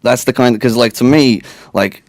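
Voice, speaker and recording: A man's voice: mid-range voice, normal speed, no room sound. A young man from Latvia, a guest rapper chatting in a radio studio, rambling and laid-back with lots of fillers. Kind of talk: casual interview chat.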